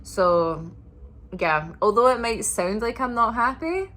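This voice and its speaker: A woman vlogging a casual apartment tour indoors, talking in a monotonous, relaxed way with lots of fillers. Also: fairly high pitch, slow pace. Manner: monotonous, flat delivery; relaxed, filler-heavy talk.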